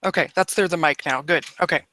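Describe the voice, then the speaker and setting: An eager, enthusiastic woman joins a podcast as a nutritionist guest. Her voice is bright and sharp, and the sound is dry and close, with no room echo. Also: deep voice, quick pace. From Washington state, USA. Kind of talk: podcast interview.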